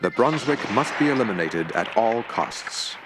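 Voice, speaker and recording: A man's voice: mid-range voice, expressive, moderate pace, music in the background. Man doing newsreel style narration, dramatic, voice goes up n down a lot. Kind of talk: documentary-style scripted narration.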